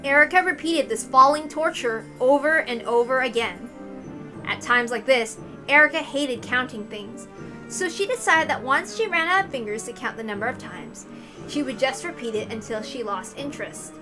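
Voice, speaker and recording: A woman reading a visual novel aloud and adding casual commentary of her own. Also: high voice.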